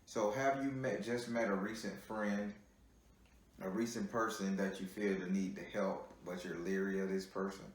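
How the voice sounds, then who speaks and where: A man's voice: deep voice, steady tone, moderate pace. A man giving a tarot card reading on a call, chatty and teasing, repeating his words as he reads the cards. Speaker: tarot reader. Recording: heavy room echo.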